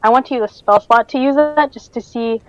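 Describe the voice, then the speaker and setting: A woman's voice: a little high, brisk, no room sound, low-quality recording. A woman playing a tabletop role-playing game, casually describing what her character does.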